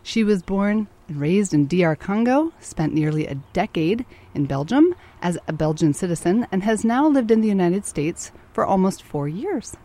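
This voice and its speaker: A woman's voice: mid-range voice, lively intonation, moderate pace, hardly any pauses, mellow. A woman hosting a radio interview program, moving between scripted introduction and curious follow-up questions.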